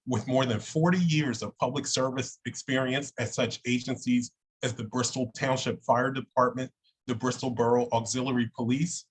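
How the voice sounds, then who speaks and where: A man's voice: medium pitch, breathy edge. A man hosting a town hall, thanking one speaker and reading the next speaker's introduction courteously. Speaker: host and moderator.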